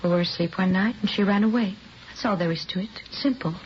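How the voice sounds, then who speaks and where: A woman's voice: medium pitch, quick pace, lightly acted. An actress playing an evasive, weary young mother under questioning in a vintage radio crime drama, defensive and pleading. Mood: defensive, impatient. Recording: dull, noisy vintage radio recording.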